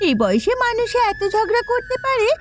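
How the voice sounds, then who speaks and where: Woman doing a naggy young daughter-in-law, high animated cartoon voice, defiant, music in back. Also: fairly quick, background noise. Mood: nagging, angry. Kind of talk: Bengali moral-tale cartoon dubbing.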